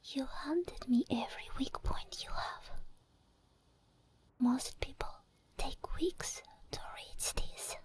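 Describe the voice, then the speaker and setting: A woman playing an obsessed, teasing and menacing sleep paralysis demon in a whispered ASMR roleplay, speaking deliberately and intimately with drawn-out pauses. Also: high-pitched, slightly rough, no room sound, exaggerated voice. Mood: possessive, unsettled.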